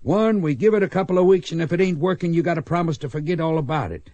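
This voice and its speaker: An actor playing a weary, grumbling but good-hearted old ex-gunfighter in a radio western, folksy and hesitant. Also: male voice, a little high, quick pace, a little mellow, dry recording, highs cut off, natural voice. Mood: reluctant.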